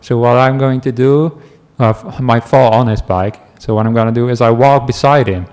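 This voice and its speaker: A man from the Netherlands with a Dutch accent, a meditation teacher giving a relaxed talk to a class, telling stories with asides and small laughs. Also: medium pitch, moderate pace, clear, resonant tone. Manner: gentle, chatty storytelling with asides and small laughs.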